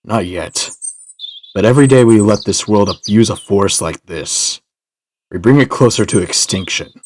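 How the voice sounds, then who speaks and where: Man playing a stern, low-voiced guardian hero. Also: moderate pace, a little mellow, slight background noise, natural voice. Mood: grave. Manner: stern, grave, intense. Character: brooding, tough echidna guardian, adult male hero. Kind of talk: cartoon audio drama acting.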